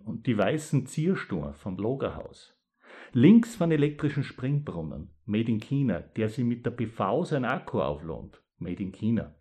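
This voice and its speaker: Deep-voiced East Styrian poet, soft-toned, reads dialect verse and reflects with pauses. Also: male voice. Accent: East Styrian Austrian German. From East Styria, Austria. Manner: thoughtful, with pauses and hesitations. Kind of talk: dialect poetry reading and talk about it.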